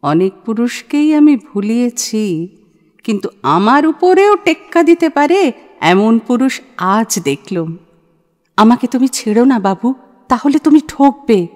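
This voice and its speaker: A woman's voice: fairly high pitch, sing-song, unhurried, darkish tone. A woman playing a shrewd, self-assured young woman in an audio play, speaking in a forthright, persuasive, dramatic way. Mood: persuasive, daring. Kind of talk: audio play acting of a literary short story. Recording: long hall-like reverb.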